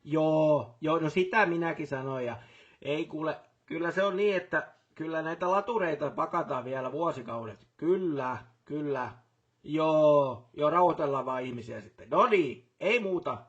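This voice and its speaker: A man's voice: a little high, breathy edge, dull audio. A man playing a busy, businesslike factory boss in a video game, talking colloquially and repeating short affirmations. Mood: chatty, casual. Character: busy, brisk male factory boss on the job. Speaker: voice actor for a game character. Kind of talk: game voice lines, phone calls and workplace speeches.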